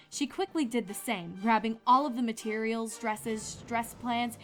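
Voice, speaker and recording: Bright-voiced woman narrating a story. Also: on the high side. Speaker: narrator of a fan fiction reading. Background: music.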